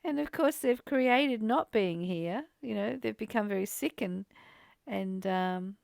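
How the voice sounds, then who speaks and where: A woman's voice: mid-range voice, a little slow, gravelly, darkish tone, no room sound. A woman leading a casual, reflective spiritual discussion in a conversational way, repeating phrases for emphasis. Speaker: spiritual study host.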